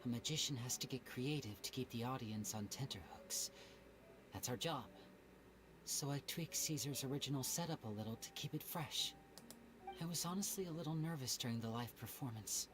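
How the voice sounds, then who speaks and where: Young magician, a man voicing him, smooth and soft-spoken, middle-range voice, thoughtful delivery. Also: medium pitch, normal speed, noisy background, close to an everyday voice. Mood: reflective. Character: young male stage magician, suave and reflective. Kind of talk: video game voice acting.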